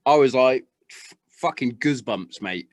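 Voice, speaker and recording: A man's voice: medium pitch, lively intonation, moderate pace, dry recording. A man from Hereford hosting a podcast, chatting in a blokey way and swearing freely. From Hereford, England. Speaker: podcast host. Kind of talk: casual podcast chat.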